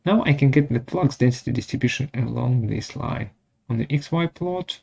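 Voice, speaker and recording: A man presenting a step-by-step software tutorial in short, measured, halting phrases. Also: medium pitch, creaky, slightly rough. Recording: dull lo-fi audio.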